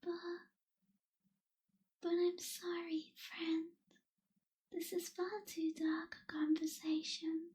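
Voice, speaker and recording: A woman playing a melancholy young vampire in an ASMR roleplay, speaking in a soft, breathy half-whisper with wistful, formal phrasing. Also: very high-pitched, completely flat delivery. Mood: grave, troubled. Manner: slow, wistful, hushed, formal wording. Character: melancholy young female vampire, gentle reluctant captor.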